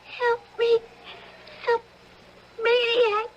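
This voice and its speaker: A woman's voice: extremely high pitch, completely flat delivery, very slow, muffled recording, a little hiss or hum, exaggerated voice. A woman acting in an old-time radio drama as a terrified young working girl, breathless and pleading melodramatically. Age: young adult.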